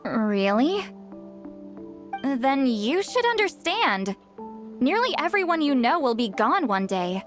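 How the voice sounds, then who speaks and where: A woman voicing a centuries-old shaman in a video game, halting and awkward, aloof but secretly lonely. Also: high-pitched, big pitch swings, soft background music, exaggerated voice. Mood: wistful, melancholy. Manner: halting, flustered hesitations turning wistful.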